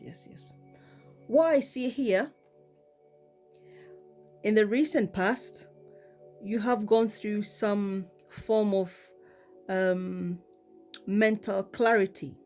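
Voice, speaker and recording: A woman with a cold giving a casual tarot card reading, talking in a relaxed way with many ums and repeated "okay?". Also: medium pitch. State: has a cold. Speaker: tarot reader.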